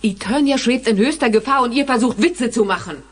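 Actress playing an agitated, high-voiced fantasy heroine. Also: female voice, fairly quick, background noise, natural voice. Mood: reproachful. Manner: urgent, emphatic, dramatic. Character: agitated, reproachful young heroine of a fantasy adventure. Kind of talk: 1980s German audio drama acting.

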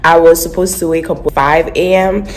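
Young woman, lowish voice, chatty vlogger, fillers, phone at arm's length, home kitchen. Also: steady tone, moderate pace. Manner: chatty, filler-heavy, talking to camera. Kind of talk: casual vlog chat. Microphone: phone camera at arm's length.

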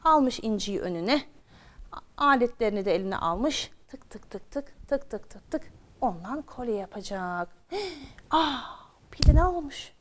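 A woman's voice: on the high side, animated, a little slow. A woman telling a children's story expressively, repeating phrases for drama and addressing her young listeners directly.

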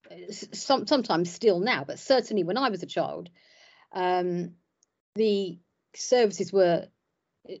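A middle-aged woman with a fairly low voice, Cypriot Greek her first language, talking in a loose, self-deprecating way full of restarts. She is speaking from home on a laptop webcam. The video call audio sounds dull and lo-fi. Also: darkish tone. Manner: chatty and self-deprecating, with many fillers and restarts. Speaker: ordinand and hairdresser. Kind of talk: casual interview on a video call. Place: home room by a window.

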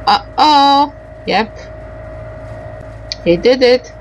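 A woman's voice: high voice, expressive, very slow, a little hiss or hum, low-quality recording. A woman giving casual, unscripted game commentary, reacting in short broken phrases. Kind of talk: casual game commentary with bits of on-screen text read out.

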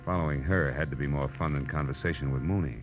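Wry, world-weary male gumshoe, very low voice, muffled old-time radio sound with music. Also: fast. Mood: wry narration. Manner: wry, sardonic. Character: wry, world-weary male private detective, first-person narrator. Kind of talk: 1940s radio detective acting and narration.

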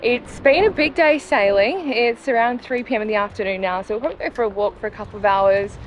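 A woman's voice: on the high side, quick pace. Young Australian female vlogger, casual to-camera chat, outdoor noise behind. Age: young adult. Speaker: travel vlogger. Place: outdoors at a marina and town streets. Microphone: camera held at arm's length. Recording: outdoor background noise, traffic ambience.

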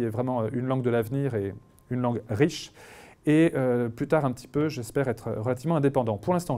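A man, a physician, giving a conference lecture over slides, speaking in a measured way with frequent pauses and restarts. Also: medium pitch, dark tone. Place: conference room.

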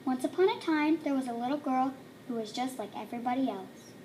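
A woman reading a children's storybook aloud in an expressive way, acting out the emphatic words. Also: high voice, fairly quick, slight background noise, rough recording. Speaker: storybook reader.

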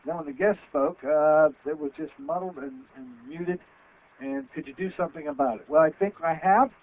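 A loud, rambling Western Pennsylvania man in his sixties hosts a radio health show from a small office. His voice sits a touch high and comes through a headset mic. The sound is tinny and phone-like. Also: normal speed. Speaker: radio show host, physician. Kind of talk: casual radio talk. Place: small office with framed certificates.

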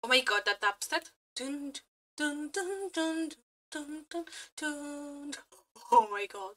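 A woman reacting casually and excitedly as a streamer, gushing, exclaiming and swearing freely. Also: high-pitched, slow. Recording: music playing behind. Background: game trailer music.

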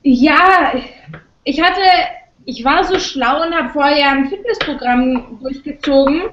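A woman's voice: high voice, measured pace, a bit bright, slight background noise. A young woman chatting as a guest over a video call from her home kitchen, bubbly and colloquial. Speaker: celebrity guest. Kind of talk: casual chat on a video call. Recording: roomy, dull video call audio.

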